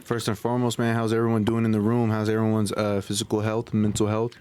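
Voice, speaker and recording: A man's voice: lowish voice, monotone, fast, a little mellow. A man hosting a casual podcast chat, speaking informally with slang and often repeating his phrases. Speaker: podcast host. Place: small room with couches, indoors.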